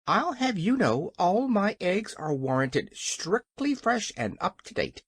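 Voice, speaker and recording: A narrator voicing a proud, indignant yellow hen in a storybook, with a shrill, cackling voice. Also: expressive, normal speed, no echo.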